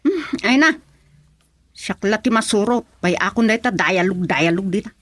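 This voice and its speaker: A woman's voice: medium pitch, lively intonation, normal speed, fairly bright tone, no room sound. A woman playing a stubborn, offended villager who gives huffy, defiant retorts in a comedic radio drama. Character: stubborn offended woman refusing to make peace.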